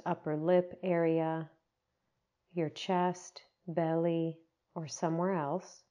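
A woman leading a guided meditation, giving gentle, unhurried instructions with long pauses. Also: deep voice, highs cut off.

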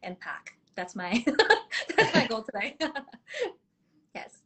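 A woman's voice: mid-range voice, expressive, takes it slowly, pushed and bright, breathy edge. A young woman from South Korea, a dentist, answering interview questions in a candid, bubbly way and laughing often. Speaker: podcast guest, dentist.

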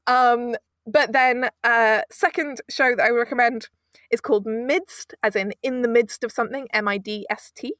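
Woman, high-pitched voice, enthusiastic interview guest, effusive, emphatic, digressive, dry recording. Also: steady tone, normal speed, fairly bright tone, no echo. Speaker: guest, audio drama producer.

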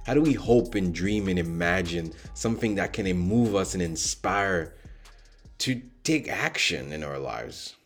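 Low-voiced man hosting a podcast. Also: expressive, unhurried, breathy edge, soft background music. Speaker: podcast host and interviewer. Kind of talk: earnest podcast introduction and interview.

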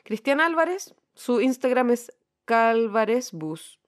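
A woman's voice: a little high, sing-song, slow pace. A woman with a Chilean accent co-hosting a podcast in a casual, colloquial, slangy chat. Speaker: podcast co-host.

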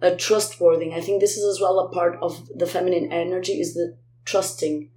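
A woman speaking as a guest singer in a reflective interview conversation, often halting as she searches for words. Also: on the low side, fairly even intonation, dark tone. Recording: roomy echo, faint background noise.

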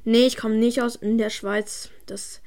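A woman's voice: a little high, level tone, measured pace, creaky, a little mellow. A woman from Germany answering viewer questions in a casual chat, stumbling over words and using slang. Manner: frequent stammers and restarts, slangy.

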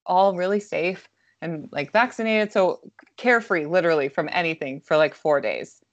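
A woman with a hoarse, somewhat low voice, chatting casually as a podcast co-host. She rambles and fills her talk with "like." The sound is a little dull and lo-fi. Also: normal speed. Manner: chatty, lots of "like", anxious rambling. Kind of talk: casual chat.

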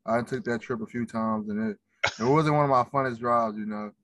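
A man hosting a podcast asks his questions in a relaxed, informal way. His voice sits in a middle pitch. The recording is dry, with little room sound. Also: a little fast, no echo. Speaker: podcast host. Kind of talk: casual interview.

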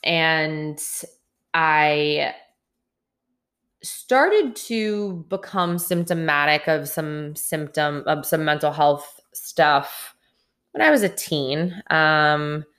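A woman in her late twenties hosts a podcast alone. Her voice sits low, and she speaks earnestly and openly, sometimes restarting a phrase. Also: very slow, a bit bright. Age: 20s. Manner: candid, earnest, with some restarts. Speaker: podcast host. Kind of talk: solo podcast monologue.

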